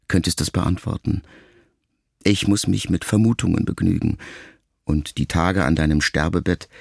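A man acting a brooding son who addresses his dying mother in a reflective, measured radio-drama monologue. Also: low-pitched, creaky, darkish tone, husky, no echo, clean studio sound, full character voice. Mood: reflective, searching. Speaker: radio play actor. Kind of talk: intimate first-person literary monologue in a radio drama.

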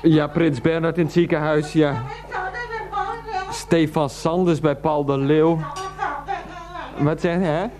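An actor playing a henpecked grown son in a radio play, placating and halting as he talks to his mother. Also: on the high side, noisy background, lightly acted. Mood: indignant, deferential.

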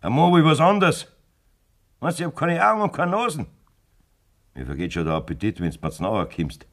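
An actor playing a domineering, lecherous farmer in a German radio play, blunt and scornful, with a Bavarian accent. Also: male voice, fairly high pitch, sing-song, brisk, fairly bright tone, exaggerated voice.

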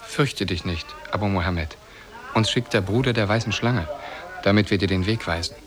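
A strange creature played by a man proclaims solemnly in a fairly low voice. A crowd sounds clearly behind it, in the manner of an old radio fairy-tale play. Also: moderate pace, natural voice. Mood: solemn proclaiming. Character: strange male creature with eyes on its chest, solemn guide. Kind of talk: 1950s German radio play acting.

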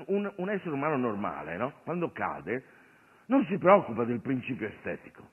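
A male comic actor delivering an animated, digressive satirical monologue on stage, addressing the audience and his sidekick. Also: medium pitch, moderate pace, husky. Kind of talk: theatrical stand-up monologue with a sidekick. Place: theatre stage. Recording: noisy, narrow phone-like radio recording of stage show.

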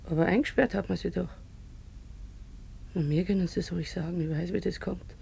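A worldly chatty woman, super gravelly n lowish, confiding, radio play. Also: steady tone, fairly quick, mellow, full character voice. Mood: confiding, nosy. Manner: confiding, colloquial, matter-of-fact. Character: streetwise, chatty woman, worldly and down on her luck. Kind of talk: naturalistic radio drama acting.

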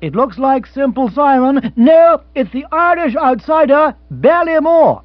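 A man's voice: very high voice, very animated, a little slow, no echo, lo-fi sound, voice pushed up, full character voice. A man reading an audiobook, voicing a male radio horse-race commentator calling the race in a rising, eager rush. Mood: excited.

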